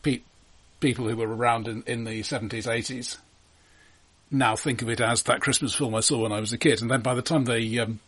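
A man discusses films as a podcast host in a husky, mid-pitched voice. He speaks unscripted, stammering and restarting as he works through his points. His manner is wry and critical. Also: fairly even intonation, fairly quick, a bit bright.

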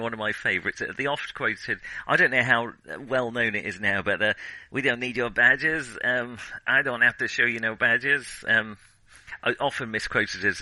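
Male podcast host, very crisp bright edge, dry close sound, hesitant and wordy, casual film chat. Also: mid-range voice, no echo, rough recording.

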